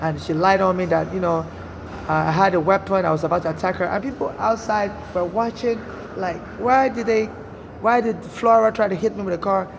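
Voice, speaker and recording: Nigerian man, high gravelly voice, rambling earnest monologue, traffic noise behind. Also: fairly even intonation, normal speed. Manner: earnest, rambling, frequent restarts and "you know". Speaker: storyteller recounting personal experience. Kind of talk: casual first-person monologue.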